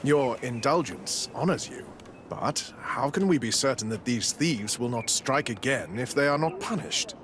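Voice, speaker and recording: A stern missionary priest from a video game, formal and insistently skeptical. His mid-pitched voice comes with slight outdoor noise. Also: lively intonation, unhurried, fairly bright tone. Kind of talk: video game dialogue acting.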